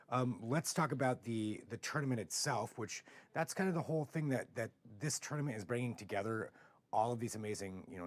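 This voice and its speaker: An American man with a rough, hoarse voice hosting a casual live interview, talking in halting, rambling phrases. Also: lowish voice. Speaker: TV show host.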